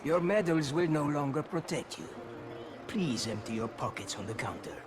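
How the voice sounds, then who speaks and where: A man's voice: fairly high pitch, lively intonation, normal speed, music in the background, close to an everyday voice. Man acting as a menacing, sardonic Soviet colonel. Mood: cold, menacing. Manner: commanding, sardonic. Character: cold menacing Soviet colonel interrogating a suspected traitor. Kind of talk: cinematic video game voice acting.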